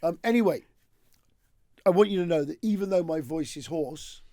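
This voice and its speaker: A man in his fifties with a hoarse voice, hosting a podcast in a rambling, jokey, self-mocking chat. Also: fairly high pitch, normal speed, warm tone, dry recording. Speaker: podcast host. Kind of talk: casual chat.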